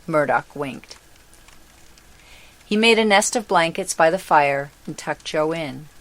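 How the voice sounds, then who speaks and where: A woman reading a storybook as narrator in a measured, deliberate manner. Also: low voice, expressive.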